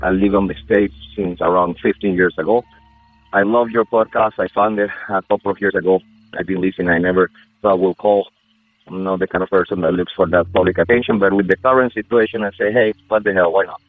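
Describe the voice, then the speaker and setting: A man's voice: sits low, steady tone, fairly quick, dry recording. A man from Venezuela with a Venezuelan Spanish accent, a caller earnestly telling a story in a conversational way over the phone. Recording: tinny phone line.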